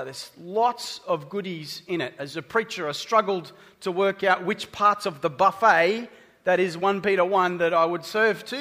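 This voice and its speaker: A man's voice: sits high, sharp tone. A man with an Australian accent preaching a sermon, chatty and conversational with the congregation. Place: church hall. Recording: reverberant church hall.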